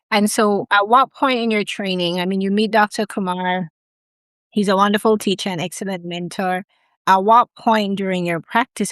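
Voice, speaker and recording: Woman host asking questions slow and encouraging, dry close sound. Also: mid-range voice, clear, resonant tone, no echo. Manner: measured, encouraging, slow phrasing. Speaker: host, admissions consultant. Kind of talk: interview hosting.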